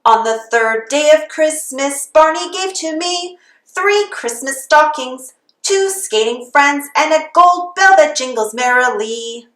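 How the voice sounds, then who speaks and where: A woman's voice: high voice, lively intonation, slow pace, fairly bright tone, echoey room. A woman reading a children's storybook aloud in the sing-song manner of a Christmas carol. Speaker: storybook reader.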